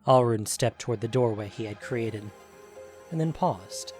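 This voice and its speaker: A man's voice: mid-range voice, measured pace, a little mellow, soft background music. A man narrating a scripted fantasy story as the narrator of an audio production.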